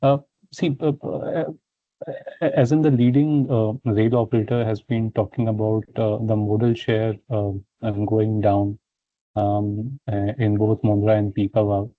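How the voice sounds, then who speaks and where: Man, financial analyst, lowish monotone, polite hesitant questioning, narrow phone-line sound. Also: unhurried, no room sound. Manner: hesitant, polite, roundabout phrasing. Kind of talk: earnings call questioning. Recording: thin phone line, very dry.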